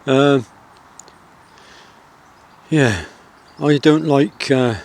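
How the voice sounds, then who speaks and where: Soft-spoken Wiltshire countryman outdoors. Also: medium pitch. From England. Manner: soft-spoken, rambling, with many pauses and fillers. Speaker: outdoor vlogger. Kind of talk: reflective outdoor vlog.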